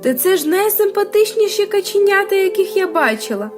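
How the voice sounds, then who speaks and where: Woman playing a nosy high-voiced old goose. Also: sing-song, a little fast, music in the background. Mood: gushing. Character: nosy, suspicious old mother goose neighbour. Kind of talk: children's storybook character voice.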